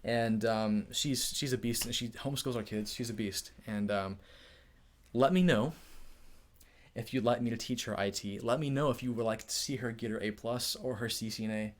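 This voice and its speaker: A man's voice: medium pitch, moderate pace. A man hosting a casual live-stream Q&A, upbeat and chatty, laughing often, feeling hot. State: overheated. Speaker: tech YouTuber hosting a live Q&A.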